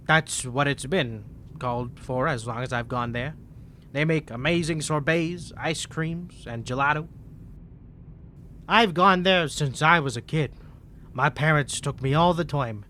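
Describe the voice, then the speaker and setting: A man's voice: on the high side, unhurried, sharp tone, a little hiss or hum. A man playing a suave, flirty mafia boss in a cartoony 1950s voice, speaking politely and self-assuredly in a scripted roleplay. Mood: courteous, flirty.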